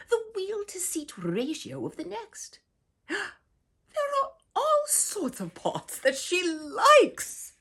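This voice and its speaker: A woman reading a children's picture book aloud in a theatrical, exaggerated way, with a breathy voice and dramatic shifts in delivery. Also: medium pitch. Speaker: children's storyteller and read-aloud host.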